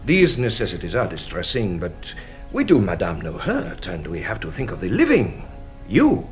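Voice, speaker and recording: An actor playing a playfully sly police inspector in a British radio drama, speaking in a courtly, teasing, deliberate way. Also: male voice, low voice, wildly swooping pitch, darkish tone, soft background music.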